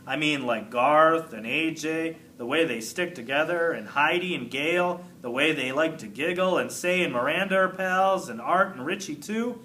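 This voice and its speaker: A man voicing an eager, friendly classroom hamster in a storybook, chatty and excitable. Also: fairly high pitch, moderate pace, few pauses, a little hiss or hum, poor audio. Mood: chatty, hopeful. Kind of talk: storybook character reading.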